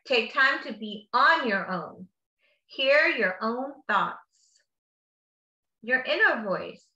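Bright-voiced woman reading slowly to children. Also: fairly high pitch. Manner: encouraging, simple explanatory asides to children; slow, drawn-out emphatic reading. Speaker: teacher reading a picture book aloud. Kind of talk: children's read-aloud with encouraging asides. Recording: roomy echo, slightly dull sound.

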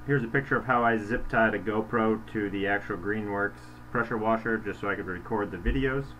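Low-voiced man over humming noise. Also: a bit bright, low-quality recording. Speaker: product review presenter. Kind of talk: casual how-to voice-over narration. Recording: voice-over with clear hum and noise.

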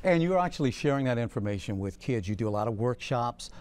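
A man's voice: mid-range voice, lively intonation, fast, a little mellow. A middle-aged man hosting a TV interview show, with upbeat, polished introductions in a studio. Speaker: TV host. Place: TV studio set.

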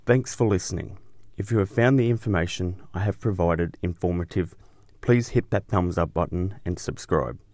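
A man's voice: low-pitched, moderate pace, a little mellow, no echo, studio quality. A man hosting and narrating a scripted explainer show.

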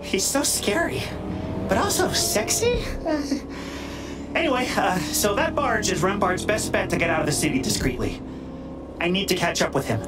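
An actor playing a flippant, theatrical masked hacker in a video game, sarcastic and joking but stubborn. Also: male voice, high voice, lively intonation, normal speed, low-quality recording. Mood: wry, joking. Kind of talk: video game cutscene acting. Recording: music behind the voice.

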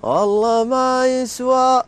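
Young Gulf man singing slowly. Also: very high voice, steady tone, long reverb tail. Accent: Gulf Arabic. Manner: sings folk verses slowly, joking banter. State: tired voice. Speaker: reality show contestant. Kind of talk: casual chat with singing. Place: outdoor courtyard seating area. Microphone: lapel.

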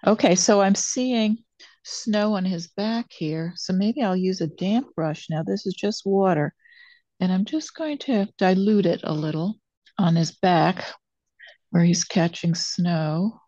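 An older woman teaching watercolor, thinking aloud as she demonstrates and pausing between phrases while she works. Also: on the low side, warm tone, no room sound. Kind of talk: real-time demonstration narration, thinking aloud. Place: indoors at a painting table. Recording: dull, muffled lo-fi sound.

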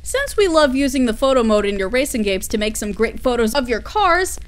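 A woman's voice: fairly high pitch, very animated, fairly quick. A woman playing an affectionate, dorky, easily flustered workaholic mechanic girlfriend in an intimate roleplay audio, giggly and gushing. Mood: nervous, affectionate.

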